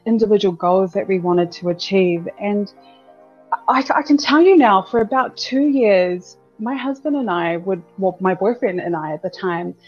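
A woman's voice: medium pitch, moderate pace, a little mellow, soft background music. Woman, thirties, from New Zealand, podcast guest, candid, chatty, dull lo-fi sound. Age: 30s. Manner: chatty, candid, laughs often, repeats words. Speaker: guest, business owner. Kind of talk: casual interview chat.

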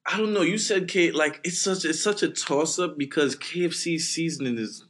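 A man's voice: a little high, moderate pace, a bit bright. A young man from Brooklyn co-hosting a podcast, joking in a playful, slangy, emphatic casual chat. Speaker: podcast co-host.

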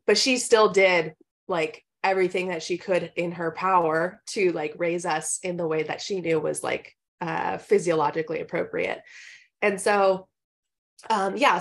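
A woman in her thirties, a nutritionist, telling her story in a casual podcast interview, chatty and full of "like" and "um", laughing now and then. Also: fairly low pitch. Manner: chatty, many fillers like "like" and "um", laughs often.

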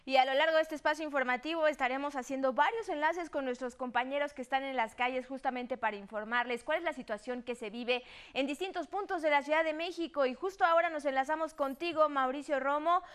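A woman's voice: sits high, a bit bright. A woman with a Mexican accent presenting broadcast news as an anchor in a formal, courteous manner.

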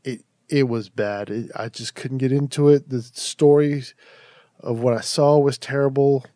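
A man hosts a casual horror review podcast, his voice mellow and a little nasal, like he is stuffed up from allergies. He talks in a chatty, rambling way, restarting his sentences as he goes. The sound is close and dry, with no room around it. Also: medium pitch, steady tone, measured pace, no echo. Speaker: podcast host.

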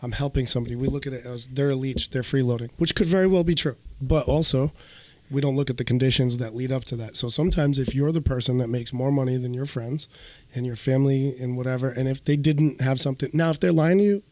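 Earnest man going hoarse, dry mic. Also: medium pitch, quick pace, mellow, no room sound. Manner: earnest, hedging with ums and likes. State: losing his voice. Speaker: podcast co-host. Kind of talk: casual podcast chat.

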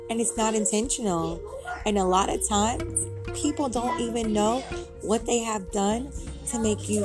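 Raspy, giggly young woman vlogging. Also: mid-range voice. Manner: rambling, giggly and upbeat. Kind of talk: casual motivational talk to camera. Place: home with kids playing.

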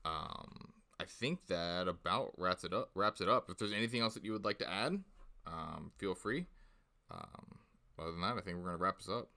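A man in his thirties hosts a casual podcast, talking loosely and filling his sentences with little asides. His voice sits low but has a bright, forward tone. He laughs easily between points. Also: animated. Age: 30s. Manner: chatty, filler-heavy, quick to laugh. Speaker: podcast host.

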